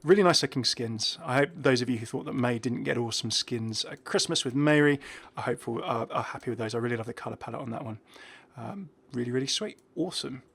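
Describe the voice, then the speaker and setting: A man with a medium-pitched voice hosts a gaming video. He talks casually and off the cuff, chatting through what is on screen. His delivery is a little hesitant as he reacts and thinks aloud. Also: fast talker, hardly any pauses, a bit bright, breathy edge. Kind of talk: casual unscripted game commentary.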